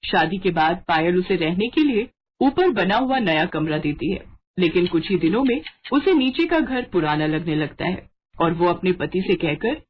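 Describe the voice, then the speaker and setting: A woman's voice: fairly low pitch, very animated, moderate pace, slightly rough. A woman narrator reading a scripted family story on dull audio.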